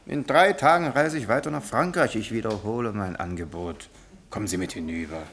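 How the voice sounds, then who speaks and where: A man's voice: on the low side, fairly quick, a bit bright. An actor playing a worldly, loyal travelling companion in a German radio play, wry and familiar as he gives advice. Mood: concerned. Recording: 1970s radio drama with clear background noise.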